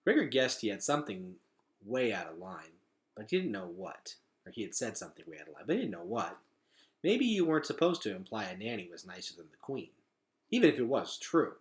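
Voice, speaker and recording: A man reading a children's story aloud as a teacher, with expressive, dramatic emphasis. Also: lowish voice, a little fast.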